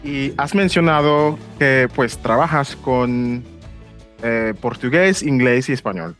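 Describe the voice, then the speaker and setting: Hesitant podcast host, faint music behind. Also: male voice, medium pitch, slow, fairly bright tone. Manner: hesitant, many ems and repeated words. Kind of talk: casual interview conversation.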